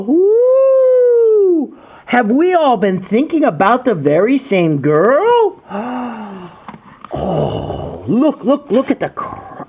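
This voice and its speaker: A man's voice: extremely high pitch, highs cut off. A man reading a children's storybook to his young daughter as her father, with exaggerated wonder. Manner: exaggerated wonder, gasps and coos.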